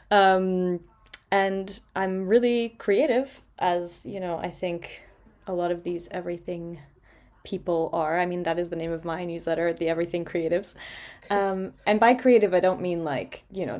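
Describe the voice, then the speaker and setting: A woman's voice: fairly low pitch, darkish tone. A woman in her late twenties from Portugal, co-hosting a casual podcast chat with many fillers and frequent laughs. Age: 20s. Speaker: co-host.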